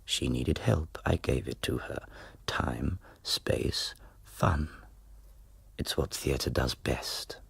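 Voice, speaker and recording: An actor in a radio play playing a scheming, smug radical drama lecturer with a husky, gravelly voice and a sly, measured manner. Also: male voice, very deep voice, no echo, whispered, exaggerated voice.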